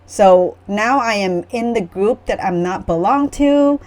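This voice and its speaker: A woman's voice: medium pitch, animated, measured pace, a little mellow. A middle-aged woman from Vietnam, telling her personal story in an earnest, reflective way as a guest author. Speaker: memoir author, panel guest.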